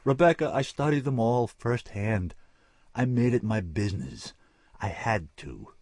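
Brief: man playing a frantic man pleading his conscience, voice pushed high and cracking, husky and dry. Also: expressive, normal speed, no room sound. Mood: anguished resolve. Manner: stammering, agitated, pitched up.

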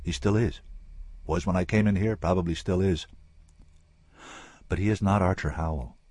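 A man reading an audiobook, voicing a grim, loyal man who speaks quietly and haltingly. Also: sits low, dry recording. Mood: grave.

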